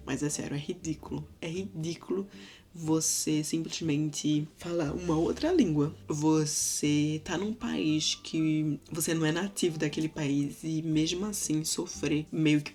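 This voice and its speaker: A woman's voice: low voice, darkish tone. A young woman from Brazil with a Recife accent, telling a personal story to her viewers in a casual, chatty way. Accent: Recife Brazilian Portuguese. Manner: chatty, addresses viewers directly. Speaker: vlogger.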